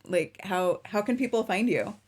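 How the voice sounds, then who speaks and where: A woman's voice: medium pitch, normal speed, a little mellow, husky. A woman hosting a casual interview, chatty and easygoing, asking long rambling questions. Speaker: interview host.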